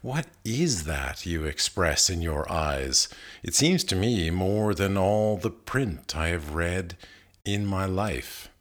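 Guy reading poetry out loud, deep voice, really slow n measured, pauses between phrases. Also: lively intonation. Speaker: poetry reader. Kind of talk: literary poetry reading, scripted recitation.

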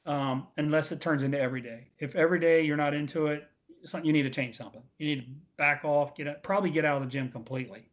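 A man's voice: on the high side, steady tone, fast, hardly any pauses. A man in his late forties giving casual, emphatic interview answers, repeating points for stress. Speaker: interview guest, veteran bodybuilder. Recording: dull, lo-fi audio.

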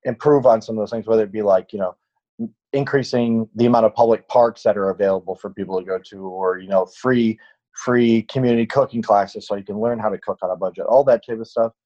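A man's voice: lowish voice, fast, mellow. Late-20s male trainer, casual rambling podcast talk, muffled lo-fi sound. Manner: rambling, many fillers and restarts. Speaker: fitness trainer.

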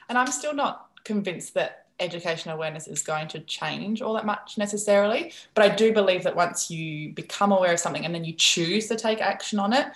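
A young woman from the Netherlands, a native Dutch speaker, talking as a guest in a podcast interview, animated and filler-heavy. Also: on the low side, roomy sound. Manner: animated, quick, frequent fillers and laughs.

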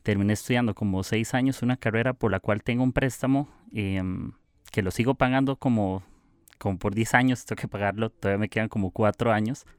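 A man's voice: on the low side, brisk, clean tone, no room sound, clean studio sound. A young man with a Costa Rican accent hosting his own podcast, talking in a casual, reflective and confessional way. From Costa Rica. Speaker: podcast host.

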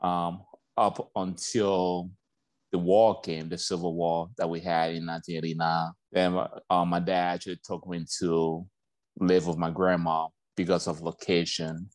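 A man's voice: deep voice, little pitch movement, measured pace, a little mellow, no room sound. A man in his forties from Liberia, reflecting on his life as a guest in a casual interview, with lots of "like" and "you know".

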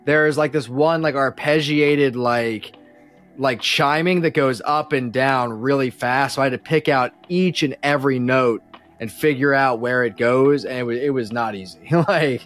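A man chatting casually as a podcast guest, explaining in a halting, self-effacing way. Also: mid-range voice, a bit bright, faint music behind. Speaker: podcast guest, musician and composer. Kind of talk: casual chat.

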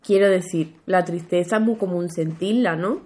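A woman's voice: lowish voice, normal speed, warm tone. A young woman co-hosting a casual podcast, playful and teasing, often breaking into giggles. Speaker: podcast co-host.

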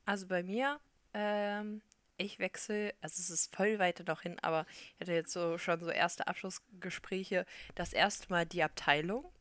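A young woman co-hosting a podcast in casual chat, talking quickly and laughing often. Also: fairly low pitch, fairly even intonation, fairly bright tone, no room sound. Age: young adult. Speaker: podcast co-host.

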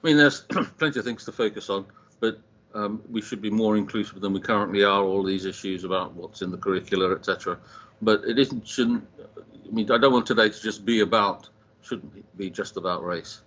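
A man on an online panel, speaking in a halting way and searching for his words. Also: on the low side, fairly bright tone. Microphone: video call. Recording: muffled video-call audio.

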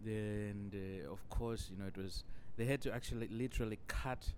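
A man from Zimbabwe, hosting a solo podcast and spinning a long, wandering story. His voice sits a bit low, and he fills every pause with "you know". Faint noise hums under the recording. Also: steady tone. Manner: rambling, leans on "you know" constantly. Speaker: podcast host.

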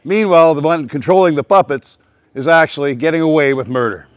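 A man addressing a live town hall audience with a loud, emphatic voice, rambling and often restarting his sentences. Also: on the high side, fairly quick. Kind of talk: public speech to a live audience. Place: public meeting with a live audience.

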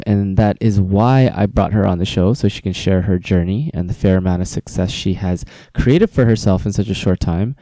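Deep, dark-voiced male podcast host. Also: no echo, rough recording. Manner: loose, casual, with restarts. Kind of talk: podcast interview.

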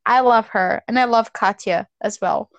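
A woman's voice: on the high side, normal speed, creaky, no room sound, dull audio. A woman ranting bluntly and profanely in a casual chat as a podcast co-host.

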